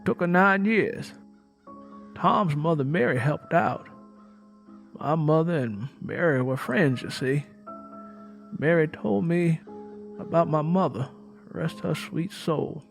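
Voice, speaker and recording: A man's voice: a little high, lively intonation, slow, creaky, pauses often, pitched above the performer's own voice, heavily acted. A bitter, mean-tempered Southern woman played by a man speaks in a folksy, emphatic drawl. She tells things like an old family storyteller, hard and doubting, in an audiobook drama with faint music. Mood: sorrowful. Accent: American South.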